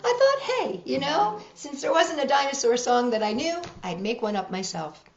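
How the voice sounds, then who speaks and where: A woman's voice: high voice, expressive, moderate pace, echoey room, muffled recording. A woman hosting a children's storytime, reading aloud and chatting directly to young listeners.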